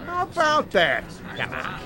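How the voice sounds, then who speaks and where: An actor playing a brash, mocking tabloid reporter in an audio drama. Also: male voice, high-pitched, huge pitch swings, measured pace, a crowd in the background, heavily acted.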